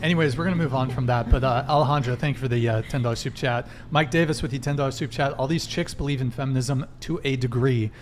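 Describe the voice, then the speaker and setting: A man's voice: mid-range voice, fast, hardly any pauses, noisy background. A young man hosting a live-streamed panel chat, wired and rambling, swearing often as he reads out donations. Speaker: host.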